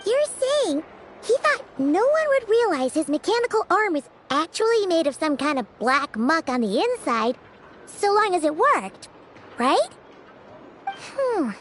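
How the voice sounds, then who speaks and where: A woman voicing a small, chirpy, childlike floating companion in a video game, squeaky and expressive with drawn-out words. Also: noisy background, exaggerated voice. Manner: expressive, drawn-out, refers to herself by name. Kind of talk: video game character acting.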